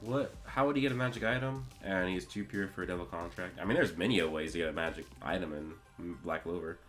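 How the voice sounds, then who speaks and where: A man's voice: deep voice, fast talker, a bit bright, faint music behind. A man live-streaming, chatting casually and answering viewers' questions, his voice getting scratchy from a long day of talking. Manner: answers chat questions, rambling with restarts. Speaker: streamer discussing anime with chat.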